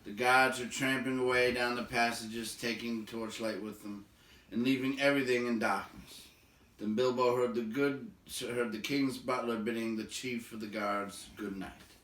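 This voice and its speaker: A man's voice: medium pitch, steady tone, normal speed, a bit bright. A man reading a storybook aloud to listeners, moving between narration and casual remarks. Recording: roomy echo, distant from the mic.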